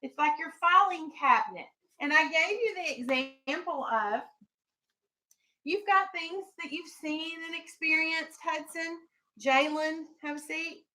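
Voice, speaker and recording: Woman, schoolteacher, high voice, bright tone, questioning, firm, classroom read-aloud delivery. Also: measured pace, rough recording. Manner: instructional, questioning, firm with pupils. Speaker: elementary school teacher.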